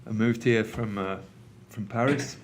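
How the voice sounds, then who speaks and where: A man's voice: on the low side, steady tone, a little slow, slight background noise. Mancunian man giving a conference talk. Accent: Manchester. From England. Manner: conversational, with frequent ums and uhs. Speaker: presenter. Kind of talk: conference presentation. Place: meeting room with an audience.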